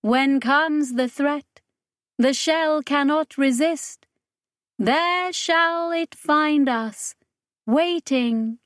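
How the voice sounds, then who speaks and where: A woman voicing a solemn alien crime lord who speaks in measured, grandiose, chanting oratory for an audiobook. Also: high voice, no room sound, studio quality, voice pushed up. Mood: solemn, defiant.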